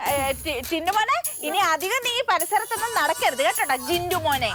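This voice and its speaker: Very high-voiced, eager gossip sidekick. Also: female voice, expressive, fairly quick. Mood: chatty. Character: chatty, deferential female sidekick to gossip mistress. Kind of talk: scripted comedy gossip sketch. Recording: loud music behind the voice.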